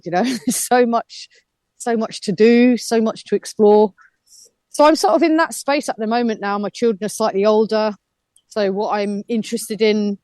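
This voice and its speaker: A woman's voice: medium pitch, a little mellow, no room sound. A woman in her fifties, a reflective, chatty guest on a radio interview who laughs easily. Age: 50s.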